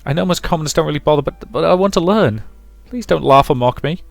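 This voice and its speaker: A man's voice: on the high side, lively intonation, fast, gravelly, no room sound, faint music behind, voice pushed up. A man voicing a timid, flustered young servant woman from a visual novel, stammering nervously. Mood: nervous, pleading.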